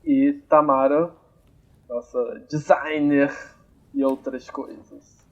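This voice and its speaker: A young man hosts a casual podcast at an easy, unhurried pace, his voice at a middling pitch. He thinks aloud as he talks, with frequent fillers and restarts. The home recording sounds slightly dull and lo-fi. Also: fairly even intonation. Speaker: podcast host. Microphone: amateur home recording, phone or computer.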